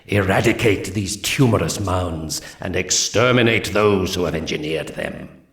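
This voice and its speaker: A man's voice: deep voice, a little slow, a bit bright. A man voicing a solemn alien seer commander in a 1999 strategy game, delivering mission briefings in a grave, ominous, formal manner. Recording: echoey, distant game cutscene audio.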